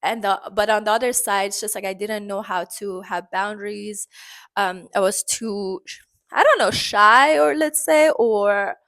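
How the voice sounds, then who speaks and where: A woman from Iran with an Iranian accent, speaking English as a second language, chatting expressively in a casual vlog full of fillers and sudden pitch jumps. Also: a little slow, fairly bright tone. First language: Farsi. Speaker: vlogger.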